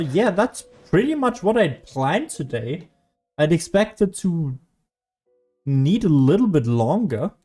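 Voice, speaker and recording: A man streams a video game in a casual, rambling way. His voice sits at an average pitch, and he often drops his train of thought and picks it up again. Soft music plays behind him. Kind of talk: casual gameplay commentary.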